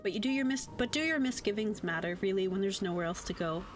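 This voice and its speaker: A woman reading as a visual novel's narrator in a measured, literary, wry and gently ominous storytelling manner. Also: mid-range voice, animated, natural voice. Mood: wry, foreboding. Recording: music under voice.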